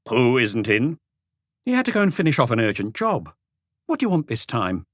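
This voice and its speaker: A man's voice: medium pitch, huge pitch swings, moderate pace, a bit bright, no echo, studio quality, pitched above the performer's own voice. A man voicing a suspicious, ill-tempered, defiant housewife who fires indignant questions in an audiobook. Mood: suspicious, snappish. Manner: snappish, indignant, challenging questions. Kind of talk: audiobook character voice.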